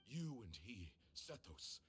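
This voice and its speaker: An actor playing a solemn older male temple elder in a video game, formal and measured, bitter and resolute. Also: on the low side, big pitch swings, a bit bright, a little hiss or hum, heavily acted. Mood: wistful, grave.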